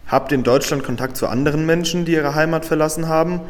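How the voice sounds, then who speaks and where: A man's voice: fairly high pitch, fast talker, long reverb tail. A young man, a student interviewer, reading out scripted questions plainly.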